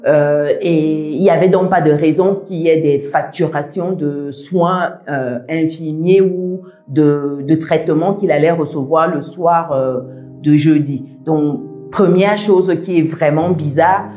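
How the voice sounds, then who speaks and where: Low, dark-voiced woman, echoey room. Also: fairly even intonation, faint music behind. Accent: diaspora accent. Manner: measured, methodical, many "euh" pauses. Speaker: vlogger. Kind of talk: explaining to camera.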